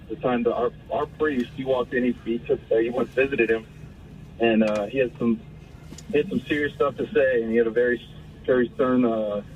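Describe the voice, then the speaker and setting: An American man calling in to a radio show, telling an earnest story in a halting, hesitant way over the phone. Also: mid-range voice. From Pennsylvania, United States. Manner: hesitant, halting, pauses often. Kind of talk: earnest anecdote told on a call-in show. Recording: distant, noisy phone call.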